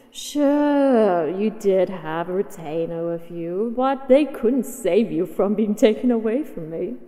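A woman's voice: mid-range voice, mellow, big-room sound. A woman voicing a possessive, haughty dragoness in a scripted roleplay audio, speaking with condescending, teasing command. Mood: stern, commanding. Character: possessive, haughty, mighty female dragon captor.

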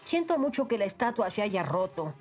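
A woman's voice: mid-range voice, sing-song, fairly quick, darkish tone, soft background music, natural voice. A woman playing an earnest, flustered young adventurer in a dubbed fantasy cartoon. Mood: apologetic, earnest. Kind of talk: cartoon dub acting.

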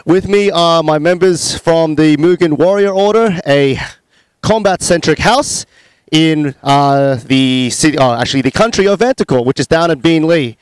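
A man's voice: fairly high pitch, normal speed, smooth, no room sound, low-quality recording. A young man hosting a casual interview outdoors with a handheld mic, hesitant with many fillers. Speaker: larp club leader, host. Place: outdoors at a convention, sunny courtyard.

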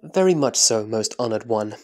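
A man playing an earnest, humble disciple in a scripture audio drama, answering in a reverent, deliberate, formal way. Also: on the low side, lively intonation, a little mellow, faint music behind, natural voice. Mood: respectful answering. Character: Subhuti, earnest humble male disciple of the Buddha.

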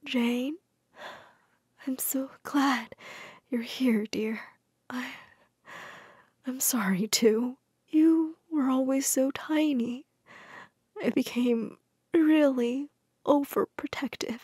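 A woman's voice: high-pitched, animated, normal speed, no echo, studio quality. A woman doing a stern older widow. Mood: weak, whispering. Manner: scolding, stern.

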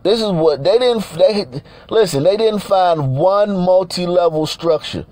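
A man's voice: high voice, a little slow, darkish tone, no room sound. A man from Nigeria giving blunt, profane, repetitive commentary over pictures, often laughing between points.

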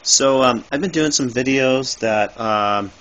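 A man giving a casual, rambling how-to talk in a halting, improvised way, recorded on a handheld phone indoors. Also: mid-range voice, fairly bright tone. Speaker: video maker, thrift reseller. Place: cluttered dim room indoors. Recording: noisy amateur phone recording.

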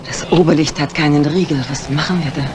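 An actress playing a brisk, dry-witted, commanding mistress of the house in a 1950s German radio mystery. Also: female voice, low voice, steady tone, natural voice. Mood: brisk, wary. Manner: composed, commanding, wry. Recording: noisy old 1950s radio recording.

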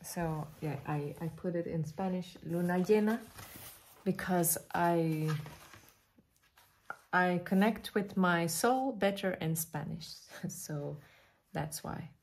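A woman in her thirties speaks English with a Flemish accent, as a native Dutch speaker. Her voice sits a little low and her pace is very slow. She chats informally to the camera indoors, pausing hesitantly as she searches for words. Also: darkish tone. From Belgium. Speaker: vlogger showing her artwork. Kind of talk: casual chat to camera. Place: living room, indoors.

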